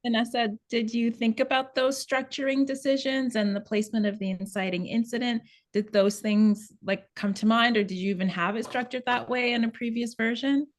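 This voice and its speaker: A woman's voice: fairly high pitch, fairly even intonation, brisk, few pauses. A woman moderating an unscripted creative discussion, articulate and diplomatic in the way she speaks.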